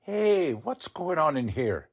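A man playing a gruff, bossy, exasperated police lieutenant with brusque, colloquial speech, in 1940s radio drama style. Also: a little high, big pitch swings, takes it slowly, heavily acted.

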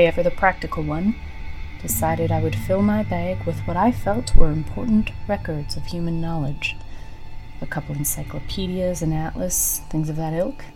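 A woman playing a weary, practical survivor who records a memoir of a burned world in a solemn, measured monologue. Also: low-pitched, a little mellow, low-quality recording. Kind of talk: scripted audio drama monologue.

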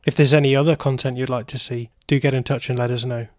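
Man, interview host, medium pitch, conversational, dry close sound. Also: little pitch movement, fast talker, a little mellow, no echo.

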